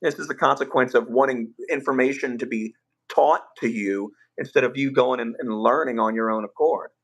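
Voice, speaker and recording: A man hosting a casual livestream, rambling with fillers and restarts in a rough voice. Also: medium pitch, normal speed. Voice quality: rough, gravelly voice. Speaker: livestream host.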